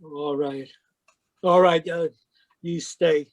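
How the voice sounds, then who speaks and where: Man voicing a crusty jittery old man, gruff, high voice, dry close mic. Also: fairly even intonation, slow pace, no echo. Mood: irritable. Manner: gruff, irritable, suspicious. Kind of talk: radio drama read live over video call. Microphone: home microphone on a video call.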